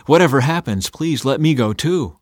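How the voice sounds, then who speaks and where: A man's voice: mid-range voice, normal speed, dry recording, clean studio sound, close to an everyday voice. A man voicing an eager, loyal young messenger, earnest and emphatic, in a scripture audiobook. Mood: pleading.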